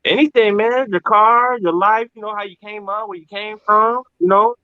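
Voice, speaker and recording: A man's voice: high-pitched, fairly even intonation, normal speed, clean tone, dry recording, muffled recording. A man hosting a casual podcast, talking in an upbeat, hyped way, calling people "man" and "bro". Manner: hype, upbeat, calls everyone "man" and "bro". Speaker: podcast host.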